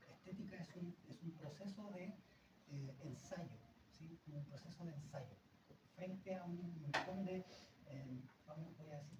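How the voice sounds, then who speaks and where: A man's voice: a little high, roomy sound. Male academic, hesitant Q&A, distant noisy room mic. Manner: hesitant, frequent restarts and tag questions. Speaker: lecturer answering questions. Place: seminar room.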